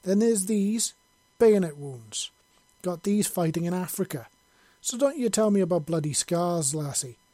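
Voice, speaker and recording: A brash old Scottish soldier, cursing and defiant, pitched high and loud, as a male narrator puts him on. The voice stays dark and mellow, recorded dry and close with no room sound. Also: moderate pace, no echo, close to an everyday voice. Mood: indignant. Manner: blustering, swearing, defiant.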